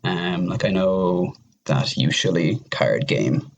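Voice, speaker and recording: A man's voice: low-pitched, lively intonation, slow pace, gravelly, dull audio. A man speaking as a podcast guest in a casual discussion of games, rambling and often restarting his phrases. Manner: hesitant, rambling, repeats phrase starts.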